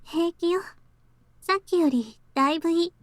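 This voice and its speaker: High-voiced, weary, gentle young woman. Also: quick pace, no echo. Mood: calm, gentle. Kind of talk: Japanese visual novel voice acting. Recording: background music under the voice.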